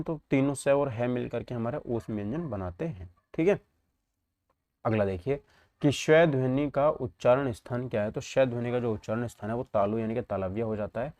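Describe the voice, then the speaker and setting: A man's voice: mid-range voice, mellow, no room sound. A man teaching an exam lesson, posing questions and answering them himself, often checking that students follow.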